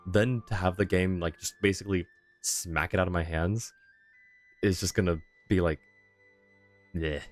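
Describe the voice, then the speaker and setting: A man's voice: sits low, steady tone, moderate pace, long pauses. A man doing casual livestream commentary on a video game, rambling and deliberating aloud as he goes. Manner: rambling, deliberating, self-correcting. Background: soft game music.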